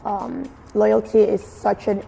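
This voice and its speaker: A woman from Nigeria with a Nigerian accent mixed with British and American, giving expressive, emphatic answers in a casual interview. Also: medium pitch, takes it slowly, vocal fry. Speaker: fashion blogger being interviewed. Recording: very echoey room with music. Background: music.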